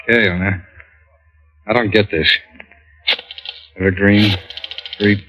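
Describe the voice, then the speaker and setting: An actor playing a nervous, evasive man held at gunpoint in an old radio crime drama, halting and pleading. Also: lowish voice, fairly even intonation, fairly bright tone. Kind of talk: 1940s-50s radio crime acting. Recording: muffled old-time radio recording.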